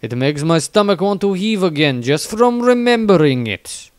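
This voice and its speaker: Man acting baffled male fighter, high pitch, crisp tone, blunt, dry close storybook recording. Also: animated, normal speed, dry recording. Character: baffled, plain-spoken male fantasy fighter. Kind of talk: storybook diary reading.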